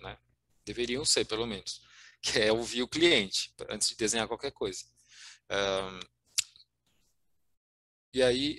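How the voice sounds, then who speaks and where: A middle-aged Brazilian man with a Brazilian Portuguese accent walks through slides over a video call. His voice sits a little low yet has a bright, forward edge. He talks in a hesitant way, full of fillers and restarts. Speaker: guest speaker. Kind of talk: presenting slides in a virtual meetup. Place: home room on video call.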